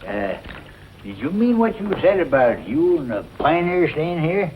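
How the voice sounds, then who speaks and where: A man's voice: on the high side, very animated, unhurried. An older man playing a feisty, folksy old ranch codger in a western, with rustic, ungrammatical speech. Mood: feisty, warm. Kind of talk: 1940s western film acting. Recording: dull, noisy old film soundtrack.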